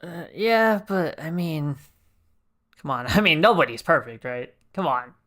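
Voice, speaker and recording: A woman's voice: low-pitched, lively intonation, a little slow, a bit bright. A woman reacting casually to a game on a livestream, jokey and playful. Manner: jokey, playful, laughs often. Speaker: game streamer.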